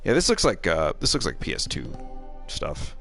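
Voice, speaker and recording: A man with a lowish voice chats casually as he streams a game. His pitch swings around a lot, and his commentary is sardonic, with exasperated asides. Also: huge pitch swings, moderate pace, a bit bright, soft background music. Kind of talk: casual live gaming commentary.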